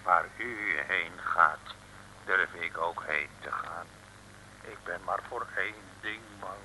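An actor playing a big, gruff French strongman in an old Dutch radio serial, blustering one moment and floridly pompous the next. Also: sits low, slow. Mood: fawning. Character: big gruff French strongman, rough brawler turned fawning admirer. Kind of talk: early-1960s Dutch radio serial acting. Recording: old radio recording, faint hiss.

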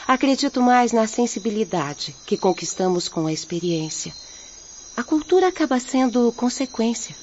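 A muffled radio drama recording with faint noise and night insects carries a gentle young peasant woman. She has a Brazilian accent and speaks in an earnest, measured, consoling manner, like a devout spirit offering comfort. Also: fairly high pitch, very animated. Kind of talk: Portuguese-language radio drama acting.

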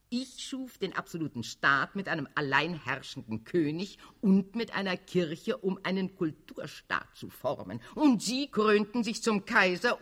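A bright-voiced, scheming old cardinal. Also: male voice, sits high, husky, heavily acted. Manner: declamatory, sardonic, chuckling rhetoric. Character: scheming old cardinal statesman, fanatical, coldly authoritarian. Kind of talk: German-language radio drama acting.

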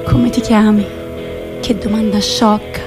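A woman's voice: medium pitch, little pitch movement, measured pace, over loud music, close to an everyday voice. An actress playing a spirited, slightly eccentric young woman of today in a theatrical monologue for a radio play. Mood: playful, musing. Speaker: stage actress.

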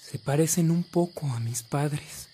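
A man's voice: fairly high pitch, measured pace, dark tone, slight background noise, lightly acted. A young man playing an earnest, reflective student who reads a story aloud in a radio drama.

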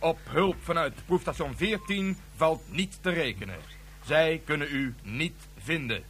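A menacing colonel in a sci-fi radio drama. His slightly high male voice is formal, commanding and deliberate. A faint hum and noise sit under the recording. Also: a bit bright. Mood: cold threat. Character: stern, menacing colonel of an armed extremist group. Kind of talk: Dutch science-fiction radio drama acting.

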